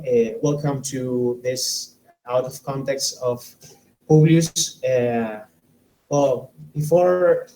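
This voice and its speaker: A man hosting an interview, asking questions in slow, searching phrases with fillers. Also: medium pitch, mellow, rough recording. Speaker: interview host. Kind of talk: conducting an interview.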